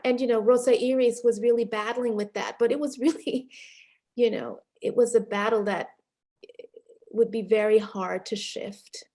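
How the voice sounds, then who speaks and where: A middle-aged woman filmmaker answering interview questions in a reflective way, pausing often with fillers and restarts. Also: on the high side, steady tone, warm tone. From Panamanian and Haitian family background. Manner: thoughtful, many fillers and restarts, occasional laughs. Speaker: film director being interviewed.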